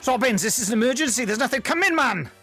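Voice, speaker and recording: A man acting an exasperated, excitable senior detective in a comic audio drama, urgent and full of exclamation. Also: extremely high pitch, quick pace, faint music behind, full character voice. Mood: urgent, alarmed.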